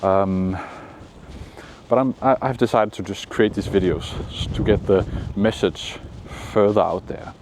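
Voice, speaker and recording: A man from Denmark with a Danish accent, giving calm, encouraging motivational talk outdoors in the shade, with frequent pauses. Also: fairly low pitch, slight background noise. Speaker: manifestation coach. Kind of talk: casual motivational vlog talk.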